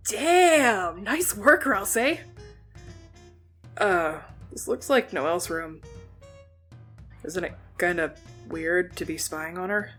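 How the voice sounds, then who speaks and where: A woman playing a hot-headed, gruff teenage girl who speaks bluntly and swears freely, with a soft spot underneath, in an audio drama. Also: fairly low pitch, lively intonation, slow, long pauses, faint music behind. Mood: brash, startled.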